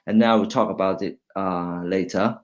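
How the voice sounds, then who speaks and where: Hong Kong academic, middle-aged man, low voice, halting, restarts often, mixes English and Cantonese. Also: steady tone, dull audio. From China, raised in Hong Kong. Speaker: university lecturer, guest speaker. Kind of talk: informal talk presenting slides.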